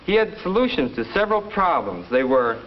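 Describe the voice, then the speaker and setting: A candid schoolboy gives a report in a 1950s classroom film. His fairly high voice moves up and down in a lively way, on a muffled old soundtrack. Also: brisk. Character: candid schoolboy admitting what he learned.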